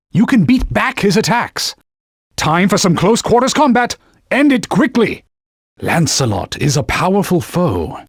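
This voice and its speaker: Bossy talking sword from a video game, pompous knightly scold, kinda husky, pitch swoops all over. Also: male voice, no room sound, studio quality, full character voice. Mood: urgent instructing, then haughty, grudging. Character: haughty talking sword, stern male knightly mentor. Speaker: video game companion guide. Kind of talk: video game voice acting, short combat callouts.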